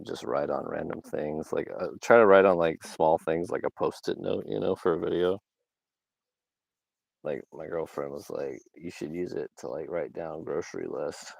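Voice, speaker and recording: Low gravelly young man livestreaming. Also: darkish tone, dry recording. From Georgia, US South. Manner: rambling, filler-heavy, laughs at himself. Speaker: livestream host. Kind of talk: casual livestream chat. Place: outdoors.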